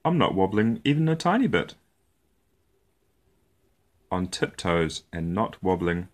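A man with a fairly low voice reads a storybook to little kids. He takes his time over each short phrase, and his pitch moves around in a lively, playful way. The delivery is that of an animated storyteller. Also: measured pace. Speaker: storyteller reading children's picture books. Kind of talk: animated read-aloud storytelling for young children.

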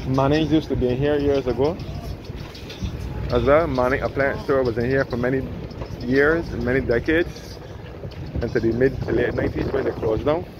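A man's voice: mid-range voice, normal speed. A man giving a rambling walking-tour commentary outdoors by a harbour, often correcting himself. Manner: rambling, self-correcting guide. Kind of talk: casual walking-tour narration. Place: outdoors on a sunny harbour boardwalk. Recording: windy outdoor recording, muffled.

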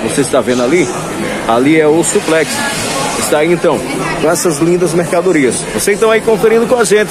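Brief: man with a high voice, pushing wholesale clothes on a phone camera in a noisy market hall. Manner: upbeat salesy patter, repeats prices. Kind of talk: market walk-through sales vlog. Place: busy indoor clothing market. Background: crowd chatter.

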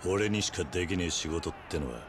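An actor playing a stoic, tough yakuza ex-convict in a game cutscene, speaking in a terse, gruff, serious way. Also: male voice, sits low, animated, brisk, background noise. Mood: stern, guarded. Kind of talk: video game cutscene acting. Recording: music behind the voice.

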